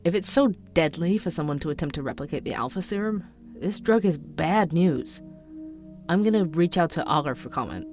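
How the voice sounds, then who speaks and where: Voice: mid-range voice, expressive, moderate pace, darkish tone, soft background music, close to an everyday voice. An actor playing a persistent investigative podcast reporter in an audio drama, narrating in a dry, wry, conversational way. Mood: wry, matter-of-fact.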